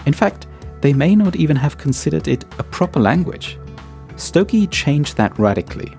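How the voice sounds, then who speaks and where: Man narrating in a mellow voice. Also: on the high side, very animated, moderate pace, vocal fry, faint music behind. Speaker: podcast host. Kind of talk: scripted narration.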